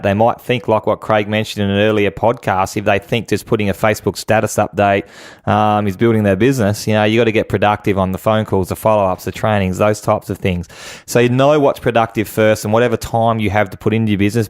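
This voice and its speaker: A man's voice: on the low side, dry recording, clean studio sound. An Australian man giving conversational advice as an interview guest and business coach.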